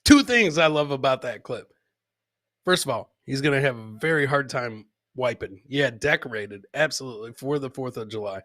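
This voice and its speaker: Bright, forceful man hosting comedy. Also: medium pitch, moderate pace. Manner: animated, crude joking, repeats his lines for emphasis. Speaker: podcast host. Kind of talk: live comedy commentary on video clips.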